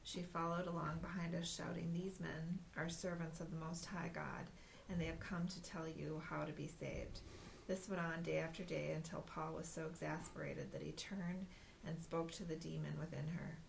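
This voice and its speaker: Woman, low voice, gravelly, reading scripture aloud, faint rumble behind. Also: steady tone, normal speed, low-quality recording.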